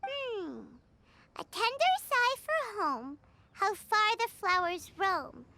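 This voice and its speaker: A woman voicing a tiny, eager fairy companion in a video game, with a squeaky, childlike voice. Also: very animated, slow pace, bright, forceful tone, heavily acted. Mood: curious pondering. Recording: music behind the voice.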